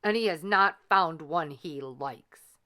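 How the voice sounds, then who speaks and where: A woman's voice: deep voice, slow pace, sharp tone, exaggerated voice. A woman voicing an impatient storybook king who complains in an emphatic way. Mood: grumbling.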